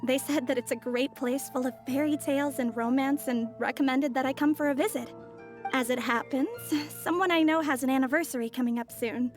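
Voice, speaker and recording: A poised, mysterious traveler woman speaks in a high, soft, measured voice, as an actress plays her. She is courteous and unhurried, with a gentle reserve. Polished video game dialogue. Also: fairly even intonation, faint music behind, natural voice.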